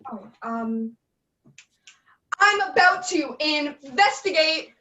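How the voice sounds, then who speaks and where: A woman's voice: high-pitched, lively intonation, takes it slowly, heavily acted. A young woman playing a goofy, overexcited soldier in a comic radio play, recorded in a bedroom on a distant computer mic. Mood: wary. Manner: loud, whooping, goofy. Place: bedroom, on a video call. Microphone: distant computer microphone. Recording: roomy, distant mic, noisy.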